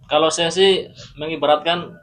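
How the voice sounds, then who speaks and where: A man's voice: on the high side, fairly even intonation, moderate pace, fairly bright tone. A man with an Indonesian accent, a guest on a casual podcast, explaining things through comparisons.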